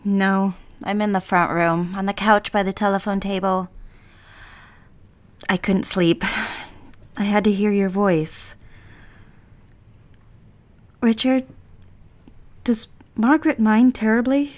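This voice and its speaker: An actress playing a conflicted, lovestruck young bride-to-be in a romantic period audio drama, speaking earnestly in measured, formal phrases. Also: female voice, medium pitch, lightly acted. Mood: tender, yearning.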